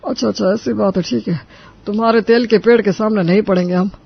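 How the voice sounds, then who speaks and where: A man's voice: high voice, fairly even intonation, fast, creaky, husky, muffled recording, heavily acted. A man playing a stubborn, gullible old father-in-law in a cartoon moral story, with emphatic delivery. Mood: curious, trusting. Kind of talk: animated Hindi moral story dialogue.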